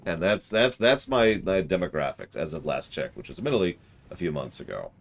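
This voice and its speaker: A man's voice: sits low, very animated, normal speed, gravelly, a little hiss or hum. A man streaming a game, chatting casually with viewers and rambling in a self-deprecating way. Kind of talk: casual live-stream chat while gaming.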